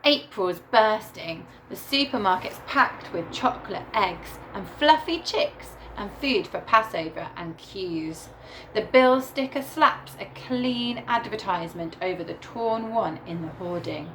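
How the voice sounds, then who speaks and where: Female primary teacher, mid-pitched, slow and expressive children's read-aloud, faint noise behind. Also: poor audio. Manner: deliberate, animated storytelling with emphasised words. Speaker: primary school teacher reading to her class.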